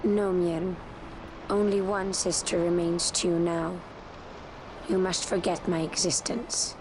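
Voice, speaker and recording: A woman playing a solemn exiled warrior of a woodland race in a fantasy game, stern and measured with archaic phrasing. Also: fairly low pitch, level tone, background noise. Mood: stern, sorrowful. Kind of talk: dramatic fantasy game acting. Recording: loud orchestral music behind. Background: game soundtrack music.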